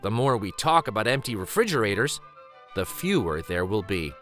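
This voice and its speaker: Guy doing kids storytime narration, bright clear-cut voice, really swoopy pitch, takes his time. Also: soft background music. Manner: deliberate, animated, emphatic phrasing. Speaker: host reading children's picture books aloud. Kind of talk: expressive storytime read-aloud narration.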